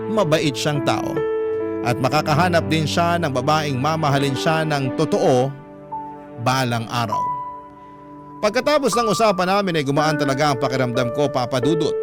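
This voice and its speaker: A man with a Filipino accent reading a first-person letter as earnest, confiding radio-drama narration. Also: a little high. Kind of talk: dramatic scripted narration in classic radio manner. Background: music bed.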